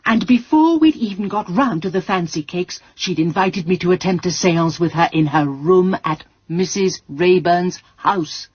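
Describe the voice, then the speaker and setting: A very husky-voiced, genteel English spinster takes her time over each precise word. She is a fussy, resourceful amateur sleuth in a radio play, heard through slightly muffled sound. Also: lowish voice, measured pace, few pauses, a little mellow. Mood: confiding, pleased. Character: prim, resourceful, genteel spinster lady sleuth, faking seances. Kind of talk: British radio drama acting.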